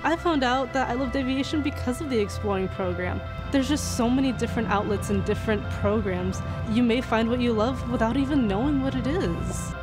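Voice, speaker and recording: A woman's voice: on the high side, fairly quick, hardly any pauses. Young woman speaking casually over music. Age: young adult. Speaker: student in a youth career program. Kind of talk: casual interview testimonial. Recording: music bed under the voice.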